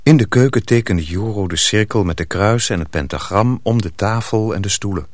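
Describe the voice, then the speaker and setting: An actor as the storyteller of a radio drama, narrating a dark folk tale in a measured, scripted way. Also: male voice, on the low side, dry recording, close to an everyday voice.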